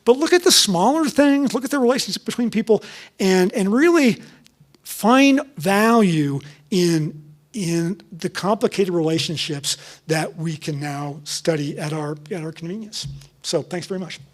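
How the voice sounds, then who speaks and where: High-voiced man giving a halting lecture. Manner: halting, many restarts and ums. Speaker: guest lecturer. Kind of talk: public lecture and Q&A. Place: lecture hall with audience.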